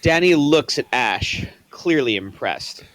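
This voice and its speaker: A man's voice: mid-range voice, expressive, takes it slowly, a bit bright, dry recording, poor audio. A man reading stage directions at a table read, plain and matter-of-fact. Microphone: online voice call.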